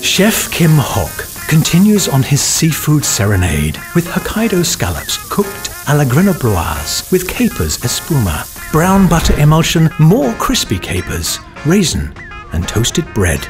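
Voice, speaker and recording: A man reads polished voice-over narration with a lively, rising and falling pitch. His delivery is smooth and melodic. Music plays clearly underneath. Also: measured pace. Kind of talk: scripted documentary narration.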